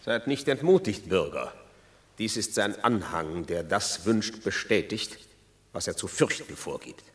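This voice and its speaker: An actor playing a wary, dismissive Roman tribune in a classical radio play, pointed and theatrical. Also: male voice, low voice, very animated, measured pace, a bit bright, exaggerated voice. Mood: defensive. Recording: echoey hall-like acoustic, slightly distant.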